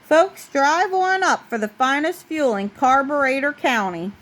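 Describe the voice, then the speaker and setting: A gracious lady car who runs a storybook cafe, read aloud by a woman. She sounds plain and cheerful, with a fairly high voice. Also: expressive, a little slow, fairly bright tone. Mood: pleased.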